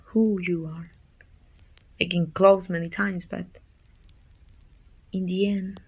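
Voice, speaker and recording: A weary, bittersweet female supervillain, acted by a woman for an ASMR roleplay. Her voice is husky and breathy, with a crackling fry at the edges. She speaks in a pensive, deliberate way, often sinking toward a whisper. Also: medium pitch, darkish tone. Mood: pensive, hushed. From Mexico.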